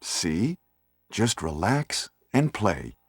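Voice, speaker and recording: A man's voice: fairly low pitch, very animated, very slow, slightly rough, no room sound, clean studio sound, exaggerated voice. An actor playing an adult male special forces soldier in a 1990s video game, with stilted line reading. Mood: stiff.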